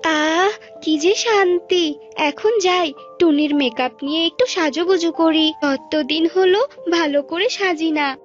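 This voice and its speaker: A woman's voice: very high voice, slow, pitched above the performer's own voice. A woman voicing a vain, greedy, conniving female cartoon bird, coaxing and self-satisfied. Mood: delighted, vain. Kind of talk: Bengali cartoon bird-fable acting. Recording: dull lo-fi audio with music.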